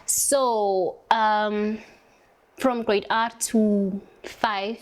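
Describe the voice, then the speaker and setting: A woman's voice: medium pitch, level tone. Young South African woman, chatty and rambling. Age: young adult. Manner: rambling, many "like" fillers, drawn-out words. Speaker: interviewee doing makeup. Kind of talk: casual chat while applying makeup.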